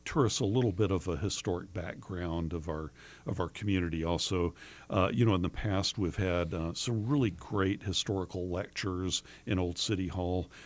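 Man, very low voice, a bit hoarse, chatty and halting, lots of filler words. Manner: rambling, halting with many fillers and restarts. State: hoarse, losing his voice. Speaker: distillery owner. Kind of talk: casual interview talk.

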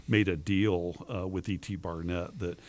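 Man, very low voice, a bit hoarse, chatty and halting, lots of filler words. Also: steady tone. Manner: rambling, halting with many fillers and restarts. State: hoarse, losing his voice. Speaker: distillery owner. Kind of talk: casual interview talk.

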